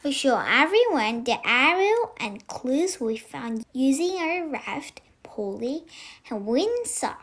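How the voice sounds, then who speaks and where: A woman's voice: high-pitched, big pitch swings, slow pace, fairly bright tone. A young girl of about eight reading a storybook aloud. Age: child, about 8. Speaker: young reader.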